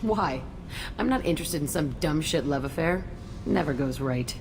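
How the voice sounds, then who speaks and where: A woman's voice: deep voice, animated, moderate pace. An actress playing a tough, guarded young female outlaw in a video game, blunt, bitter and defensive. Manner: blunt, foul-mouthed, defensive. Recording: loud background noise, game ambience.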